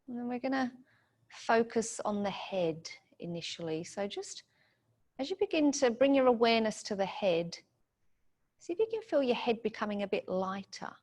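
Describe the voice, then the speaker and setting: A woman leads a guided meditation, giving soothing, gentle instructions in short phrases. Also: fairly high pitch, sing-song, moderate pace.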